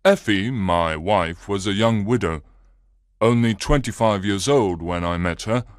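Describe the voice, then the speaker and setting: A man's voice: fairly low pitch, no echo, studio quality, voice pushed up, close to an everyday voice. A man voicing an upset, earnest married businessman who tells his story anxiously in a storybook reading.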